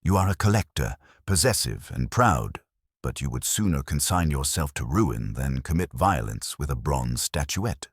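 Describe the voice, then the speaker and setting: A man playing a shrewd, courteous Belgian detective in an audiobook, speaking in formal, measured, theatrical deductions. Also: extremely low pitch, big pitch swings, slightly rough, no echo, clean studio sound, voice pushed down, exaggerated voice. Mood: gentle, deductive.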